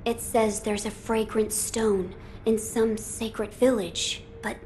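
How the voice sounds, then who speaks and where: A solemn young boy lord speaks in a video game. His delivery is formal, pensive and carefully measured, with faint ambient noise behind it. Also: on the high side, level tone, darkish tone. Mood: grave, pensive. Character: young noble boy lord, grave and thoughtful.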